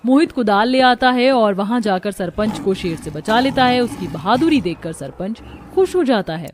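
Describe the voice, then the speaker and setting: A woman's voice: mid-range voice, lively intonation, moderate pace, a little hiss or hum. A woman storyteller reading scripted narration for a cartoon moral story.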